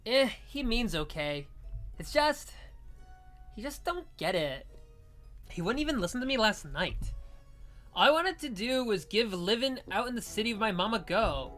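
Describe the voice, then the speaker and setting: Woman acting a valley girl, young adult, bright tone, slangy, eager yet bored, game character. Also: medium pitch, very animated, moderate pace, long pauses, faint music behind. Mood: bored, frustrated. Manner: slangy, casual, perky.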